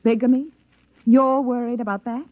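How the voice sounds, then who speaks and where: An actress playing an imperious, curt company inspector in a 1950s radio drama, haughty and commanding. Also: female voice, fairly high pitch, steady tone, normal speed, dry recording, natural voice. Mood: indignant. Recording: dry, muffled old radio recording.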